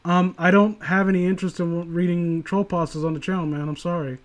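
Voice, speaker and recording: A man with a fairly high voice hosts a casual reading stream. He gives critical commentary in a meandering, stop-and-start way. His language is loose and occasionally profane. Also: flat delivery. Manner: rambling, halting, profane. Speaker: host of a story reading stream. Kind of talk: casual critical chat on a livestream.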